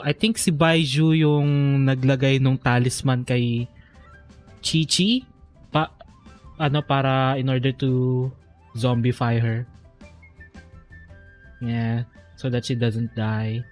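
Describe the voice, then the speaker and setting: A man's voice: medium pitch, level tone, takes it slowly, long pauses. A man with a Filipino accent giving casual gameplay commentary as a streamer, thinking aloud and switching languages, over game music. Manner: code-switching, musing aloud. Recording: faint game music behind the voice.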